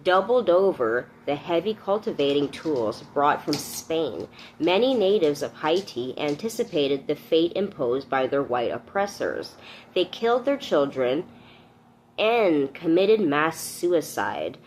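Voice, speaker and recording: A woman's voice: sits low, sing-song, a little slow, darkish tone, slight background noise, low-quality recording. A woman reading a book aloud and adding informal, earnest commentary as host. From Canada.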